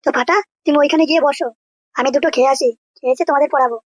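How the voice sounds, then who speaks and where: Indulgent cartoon tutor man, male performer, pitched up, kindly, dry close sound. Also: steady tone, racing, no room sound. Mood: friendly. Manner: kindly, coaxing. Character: indulgent, easy-going male neighbourhood tutor. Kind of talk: comedy cartoon voice acting.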